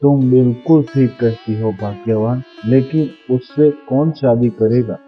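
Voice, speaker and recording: A man's voice: medium pitch, measured pace, dull audio, faint music behind, close to an everyday voice. A man playing a weary village father who works as a woodcutter in a cartoon story. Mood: resigned. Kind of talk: Hindi cartoon story dialogue.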